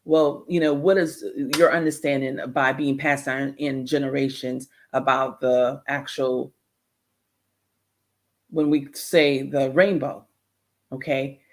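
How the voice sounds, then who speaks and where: A man delivers informal commentary on history and faith in a middling pitch. He explains as he goes, rambling and often halting to find his way. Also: flat delivery. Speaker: presenter commenting on clips.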